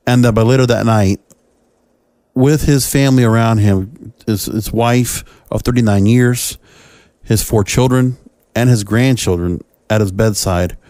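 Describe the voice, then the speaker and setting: A man speaking as a podcast host, giving solo commentary in halting, short phrases. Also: medium pitch, warm tone, no room sound.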